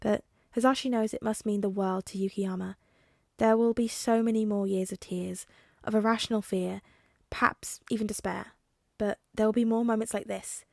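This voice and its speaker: A woman's voice: medium pitch, steady tone, moderate pace, creaky, darkish tone, no echo. Woman narrating a story, dry close sound. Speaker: audiobook reader. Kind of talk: storybook narration of fan fiction.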